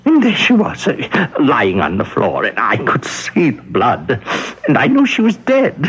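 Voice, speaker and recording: An actor playing a nervous, hesitant office boss in a radio mystery drama, halting and flustered as he speaks. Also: mid-range voice, wildly swooping pitch, fairly bright tone, breathy edge, full character voice. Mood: shaken, awkward. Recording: dull vintage radio broadcast, faint noise.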